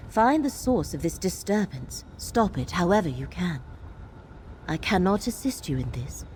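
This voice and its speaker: Solemn fantasy game seer lady, lowish voice, formal and commanding, noisy background. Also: sing-song, a little mellow. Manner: formal, portentous, measured phrasing. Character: grave mystical seer woman, solemn and commanding. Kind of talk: scripted fantasy video game dialogue.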